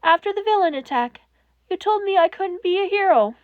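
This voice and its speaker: A woman voicing a despairing teenage boy who speaks softly, in trembling near whispers. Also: very high voice, animated, fairly quick, no echo, phone-quality sound, pitched above the performer's own voice. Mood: pleading.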